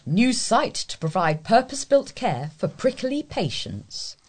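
A British woman reads local news from a script as a volunteer newsreader. Her voice has a husky edge, and her intonation is lively, rising and falling widely across each sentence. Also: fairly high pitch, a little slow.

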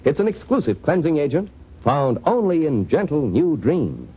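A man with a husky voice announcing an old-time radio advertisement. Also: fairly high pitch, very animated, moderate pace. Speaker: commercial announcer. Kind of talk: 1940s-50s radio advertising pitch. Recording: dull old radio recording.

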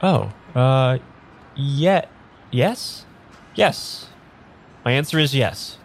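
A man's voice: medium pitch, lively intonation, gravelly, slight background noise, full character voice. A man playing an earnest, unsure amnesiac. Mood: flustered, unsure. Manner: halting, unsure, rambling. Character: bewildered, earnest man without his memory. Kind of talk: improvised audio drama dialogue and first-person narration.

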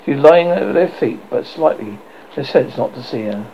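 A man's voice: medium pitch, animated, moderate pace, a little hiss or hum, lightly acted. A man voicing a bossy, commanding boy captain who plays make-believe, on a muffled lo-fi recording.

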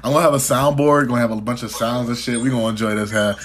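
A man's voice: medium pitch, very fast. A young man hosting a podcast in casual chat, teasing his guests with profane banter and frequent laughter. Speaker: podcast host.

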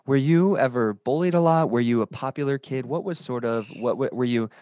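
A man's voice: medium pitch, a little fast, dark tone. A man hosting an interview podcast, asking long rambling questions and adding encouraging remarks. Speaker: podcast host.

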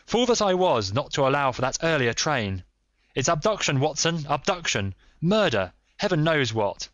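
A man voicing an impatient, intense, commanding detective in a storybook, with a quick, clear, exclamatory delivery. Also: medium pitch, expressive, fairly bright tone, dry recording, voice pushed up, natural voice. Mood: anguished urgency. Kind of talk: dramatised audiobook character.

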